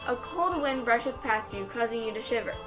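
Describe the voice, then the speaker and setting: A young adult woman reading fan fiction aloud in an expressive storytelling style. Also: on the high side, normal speed, low-quality recording. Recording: music behind the voice. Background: music.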